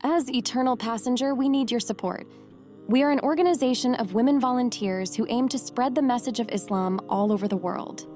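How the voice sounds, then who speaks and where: A woman's voice: fairly high pitch, a little fast, darkish tone, faint music behind. A woman reading out a scripted appeal in an earnest tone. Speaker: channel announcer. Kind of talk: read appeal for donations.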